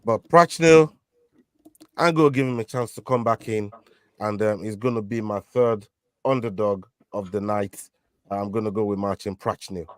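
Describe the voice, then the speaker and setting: A man's voice: lowish voice, moderate pace, dry recording, studio quality. A man hosting a casual fight prediction show, leading the picks with many fillers and restarts. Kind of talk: casual betting picks chat.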